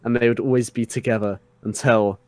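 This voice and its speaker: A man's voice: medium pitch, fairly even intonation, fairly quick, a little mellow, no echo. A man doing a dramatic fan fiction reading and joking around casually as a podcast host.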